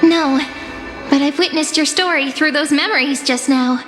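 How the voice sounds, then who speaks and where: A woman plays a mysterious, gently upbeat young game heroine. Her high voice is playful and reassuring, trailing long reverb with faint music behind it. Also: normal speed, lightly acted. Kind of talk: video game voice acting. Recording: long reverberant hall effect.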